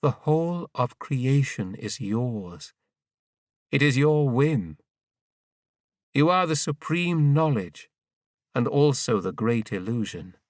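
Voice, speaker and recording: A man's voice: medium pitch, expressive, slow, long pauses, dry recording, clean studio sound, distant mic. A man voicing a creator god who prays in awe to a goddess, solemn and incantatory, in an audiobook. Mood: solemn praise.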